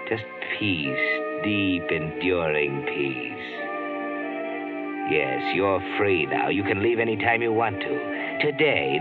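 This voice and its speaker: An actor speaking a grave second-person narration in an old radio drama, slow and hushed with menacing pauses. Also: male voice, lowish voice. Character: grave inner-voice narrator, deep and brooding. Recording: old radio recording, tinny, loud music under.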